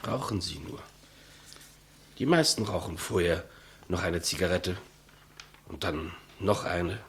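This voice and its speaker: An actor playing a humble, matter-of-fact state executioner, subdued and deferential, with a husky voice, in 1950s German radio play style. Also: male voice, low voice, lively intonation, unhurried, fairly bright tone, slight background noise, exaggerated voice. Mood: quiet, patient.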